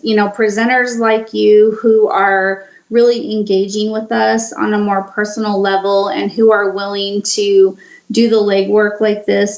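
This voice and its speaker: A woman guest from a foundation, answering the host in a gracious, earnest way. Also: medium pitch. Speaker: foundation outreach ambassador, guest. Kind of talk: interview answer. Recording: roomy, echoey sound.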